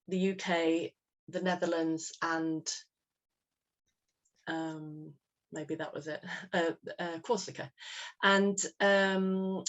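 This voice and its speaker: A woman from England, hosting an online meeting in a chatty, friendly way, laughing often. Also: on the low side. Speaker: webinar host. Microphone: video call.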